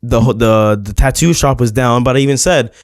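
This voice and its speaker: A man's voice: mid-range voice, moderate pace, clean tone, no echo, clean studio sound. A man co-hosting a podcast, telling stories in casual chat, swearing freely and stumbling over words. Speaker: podcast co-host.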